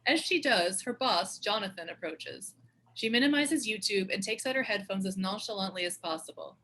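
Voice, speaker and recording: A woman's voice: medium pitch, moderate pace, sharp tone, slight background noise. A woman reading screen directions as scripted narration at a table read.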